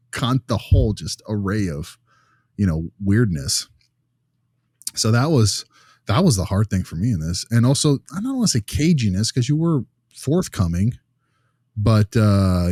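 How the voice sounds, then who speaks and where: A man's voice: fairly low pitch, a little mellow, dry recording, clean studio sound. A man chatting casually as a podcast host, with a wry, joking way of talking.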